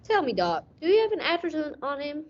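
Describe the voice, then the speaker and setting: A woman's voice: high-pitched, big pitch swings. A woman playing a brooding, hard-boiled police detective who narrates a radio mystery dramatically. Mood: determined. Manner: dramatic, deliberate storytelling. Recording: dull lo-fi audio.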